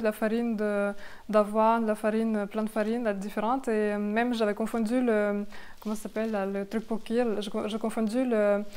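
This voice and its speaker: Clear, higher-voiced woman vlogger. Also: darkish tone. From grew up outside France, moved there later. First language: not French; learned it after moving to France. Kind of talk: casual chat to viewers about expat life.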